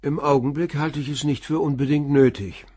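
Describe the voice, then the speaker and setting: Husky, calm police inspector. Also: male voice, a little high, a little fast. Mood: calm, probing. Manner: terse, matter-of-fact questioning. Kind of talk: German radio crime drama acting.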